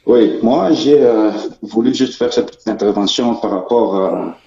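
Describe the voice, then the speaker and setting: A Congolese man calling in to a show, giving his opinion in a measured, explanatory and emphatic way. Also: on the low side, creaky, warm tone. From Democratic Republic of the Congo. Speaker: caller. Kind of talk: earnest opinion on a call-in show. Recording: muffled distant echoey phone call.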